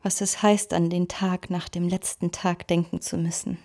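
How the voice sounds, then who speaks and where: An actress playing a dying, remorseful, God-fearing woman in a radio drama, weak and solemn, her breathy voice often falling to a whisper. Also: lowish voice, flat delivery, moderate pace, warm tone, natural voice. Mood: remorseful, fearful.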